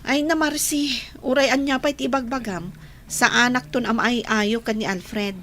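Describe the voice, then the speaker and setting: A woman's voice: a little high, steady tone, unhurried, fairly bright tone, a little hiss or hum. A woman acting in a radio drama as a firm young woman rejecting a suitor, with a Filipino Ilocano accent. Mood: insistent.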